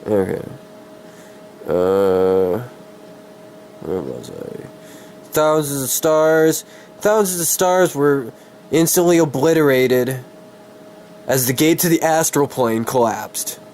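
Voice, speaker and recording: A man with a cold doing a dramatic story reading, halting and easily distracted, with casual swearing. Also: medium pitch, expressive, a little mellow, slight background noise. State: sick with a cold.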